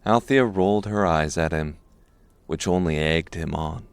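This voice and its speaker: Male narrator for dark fantasy: very low-pitched voice, scripted storytelling, dry studio-close sound. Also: unhurried, clear, resonant tone, no room sound. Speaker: audiobook narrator.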